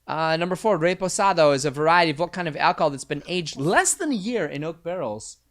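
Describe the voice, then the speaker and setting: A man's voice: a little high, animated, quick pace, a bit bright. A man hosting a live quiz night, reading out questions and answers with joking asides. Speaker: trivia quiz host.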